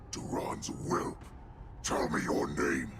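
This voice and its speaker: An actor voicing an ancient, menacing male wolf-beast warlord in a video game, with grand archaic speech and a gravelly growl. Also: drawn-out words, background noise. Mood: imperious questioning. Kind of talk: video game story voice acting. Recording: loud music behind.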